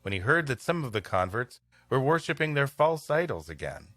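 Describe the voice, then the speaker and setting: Male story reader, podcast host, expressive, comic banter, bright voice, dry recording, faint music. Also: medium pitch, fairly quick, dry recording.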